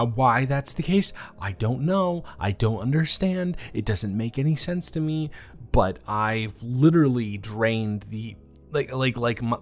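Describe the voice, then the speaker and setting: A young man playing a new classmate in a romance audio drama, speaking to the listener in a halting, flustered way, overwhelmed and stumbling over his words. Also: medium pitch. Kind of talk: one-sided audio roleplay to the listener.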